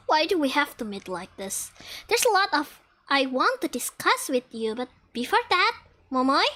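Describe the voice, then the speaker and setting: Stern anime schoolgirl, a woman acting, scolding, haughty, high bright voice, game fandub. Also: big pitch swings, measured pace, exaggerated voice.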